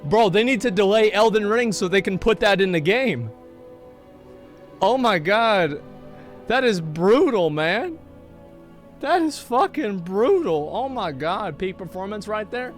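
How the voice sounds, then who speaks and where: A man's voice: high voice, expressive, measured pace, faint music behind. A man streaming a game live, rambling in casual, profane chat with many restarts.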